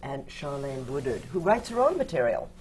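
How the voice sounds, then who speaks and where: A woman's voice: rumbling low, big pitch swings, normal speed, darkish tone, a little hiss or hum, low-quality recording. A woman moderating a theater panel discussion and introducing the guests one by one.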